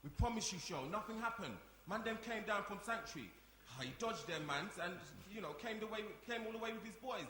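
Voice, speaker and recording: An actor plays a defensive young man in a stage-read drama. He is urgent and repetitive, rattling along in a bright, forceful voice. The hall echoes and carries background noise. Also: sits high, lively intonation, a little fast. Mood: defensive, pleading. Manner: urgent, repeats himself. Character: impatient, defensive young man at a youth centre. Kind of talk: audio drama performed before a live audience.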